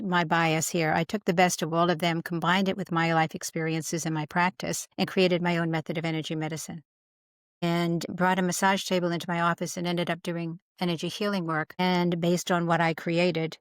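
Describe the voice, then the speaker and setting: A woman's voice: lowish voice, no echo, clean studio sound. Older woman with a slight Boston accent. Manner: candid, storytelling, quick asides. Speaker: guest, integrative medicine coach. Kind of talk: casual interview chat.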